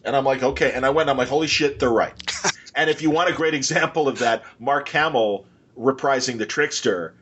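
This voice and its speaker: A man's voice: mid-range voice, brisk, muffled recording, noisy background. A man hosting a podcast, chatting casually and enthusiastically in an informal, rambling way. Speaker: podcast host.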